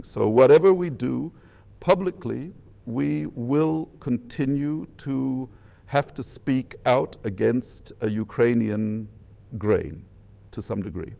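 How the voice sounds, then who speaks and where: A man with a Polish accent and a very gravelly, dark-toned voice. He talks like an official in a private diplomatic call, measured and deliberate, setting out one phrase at a time. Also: mid-range voice. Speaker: government minister. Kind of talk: measured diplomatic phone conversation.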